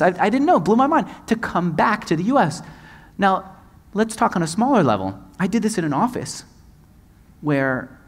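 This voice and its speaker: A man moderating a conference panel, his pitch swinging up and down as he acts out conversations. He talks into a headset mic on a stage in front of a big audience. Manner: expressive, gestures broadly, quotes others in dialogue. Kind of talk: animated onstage storytelling.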